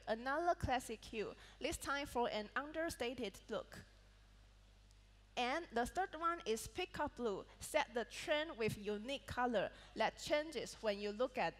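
A woman with a Malaysian English accent, presenting a product keynote on stage and reading prepared points with small slips and restarts. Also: on the high side. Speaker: product manager presenting a laptop launch. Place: large event hall on a stage. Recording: reverberant event hall sound.

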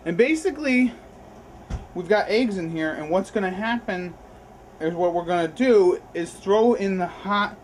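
Young male vlog host, easygoing kitchen chatter, slightly high voice, camera audio. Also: slight background noise. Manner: chatty, loose and enthusiastic. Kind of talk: casual cooking vlog. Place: home kitchen.

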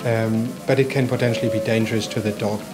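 A man in his forties, a vet and practice owner, answering interview questions in a measured way, with many ums and pauses. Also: fairly low pitch, steady tone. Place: veterinary surgery room. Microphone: handheld furry mic off camera. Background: music bed.

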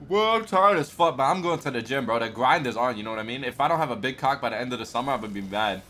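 A man's voice: mid-range voice, lively intonation, rapid-fire, a bit bright, a little hiss or hum. A young man streaming a game and chatting crudely with friends, full of slang and laughter, on a desk mic at home. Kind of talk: casual gaming chat.

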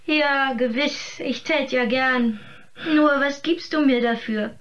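A grasping, scheming woman in an audio drama. She wheedles in a fairly high voice, stretching the words she wants heard. The sound is a little muffled. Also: slow pace, fairly bright tone. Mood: coy bargaining. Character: scheming, grasping woman who coos and bargains with food. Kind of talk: theatrical German radio play acting.

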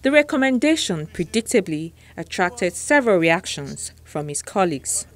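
A woman's voice: fairly low pitch, sing-song, normal speed. A woman with a Nigerian accent reading scripted news narration as a television presenter.

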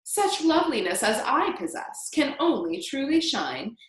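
A woman voicing a vain, boastful, cruel older aunt in a storybook, with drawn-out, gushing declamation. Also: high voice, very animated, echoey room, pitched above the performer's own voice. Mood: preening.

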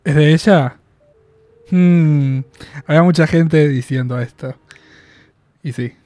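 A young Argentine man gives a casual spoken review into a boom mic in a small room. His voice sits a bit high but mellow, and it sounds dry and close. He is chatty, laughs often and stretches out his fillers. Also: slow, no room sound. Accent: Argentine Spanish. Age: 20s. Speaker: reaction video host. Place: small room in front of packed collectible shelves. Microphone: studio mic on boom arm, headphones on.

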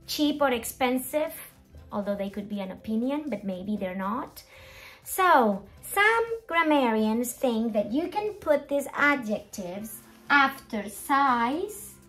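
A middle-aged woman presenting an English grammar lesson as a teacher, expressive and emphatic, with deliberate enunciation and rhetorical questions. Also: high-pitched. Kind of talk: animated scripted grammar lesson. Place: small indoor room at a whiteboard.

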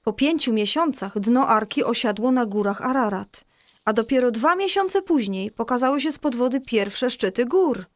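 Patient storytelling mother, fairly high voice, acted by a woman. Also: lively intonation, normal speed, no echo, lightly acted. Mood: patient, explaining. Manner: clear explanatory storytelling, reassuring. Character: patient mother telling children a Bible story. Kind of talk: scripted children's audio drama storytelling.

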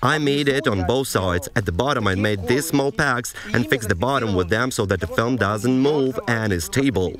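A man's voice: mid-range voice, moderate pace, hardly any pauses, a crowd in the background. A young man chatting casually as he shows off a homemade tent in a forest, recorded on a camera mic. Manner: chatty, showing off his build. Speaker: vlogger. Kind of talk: casual outdoor DIY vlog.

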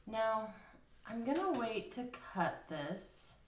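A woman's voice: a little high, sing-song, takes it slowly, slight background noise. A woman casually explaining a craft step by step at a table, thinking aloud with fillers. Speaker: craft instructor. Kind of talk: casual hands-on craft tutorial. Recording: roomy, slightly distant.

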